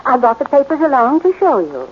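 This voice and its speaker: A woman's voice: sits high, sing-song, a little fast, a little hiss or hum. An actress playing a genteel, earnest seventy-year-old society lady with dainty, fluttery manners, on a muffled old radio recording. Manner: polite, fluttery, dainty phrasing. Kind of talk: 1940s radio acting.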